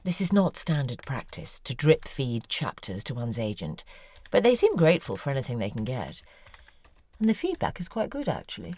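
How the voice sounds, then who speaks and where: An actress voicing a poised, probing woman novelist in an audio drama, speaking in a measured, wry way. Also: rumbling low, wildly swooping pitch, darkish tone, full character voice. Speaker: author character.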